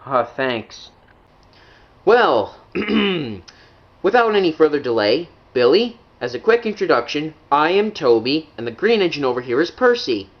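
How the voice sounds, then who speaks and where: A man voicing a calm, sensible tram engine in a children's train story, measured and reasonable. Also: fairly high pitch, highs cut off.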